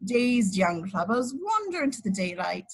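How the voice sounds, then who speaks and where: A woman's voice: medium pitch, very animated, normal speed, breathy edge. A woman reading scripted action descriptions as narrator at an informal table read, now and then stumbling and correcting herself. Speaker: narrator of stage directions.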